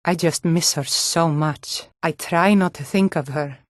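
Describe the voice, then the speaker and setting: A woman's voice: sits low, a little slow, dry recording, studio quality. An actress playing a tough, plain-spoken, world-weary Nord townswoman in a fantasy video game. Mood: sorrowful. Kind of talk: video game character dialogue.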